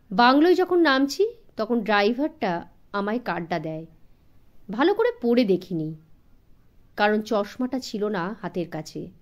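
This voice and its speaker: A woman voicing a polite, busy gentleman in an audiobook, with a conversational manner mixed with English phrases. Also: mid-range voice, natural voice. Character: polite, busy gentleman, surprised and amiable businessman.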